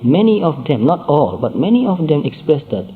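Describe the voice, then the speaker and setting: A man giving a Buddhist dharma talk, speaking in measured phrases with frequent pauses and repetition. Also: fairly high pitch, sing-song. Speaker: Buddhist teacher. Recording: tinny phone-like sound.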